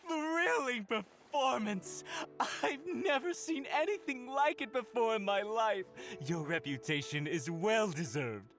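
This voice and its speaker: A man playing an excitable, gushing opera fan in a video game, effusive and exclamatory. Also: high-pitched, unhurried, faint music behind, heavily acted. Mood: thrilled.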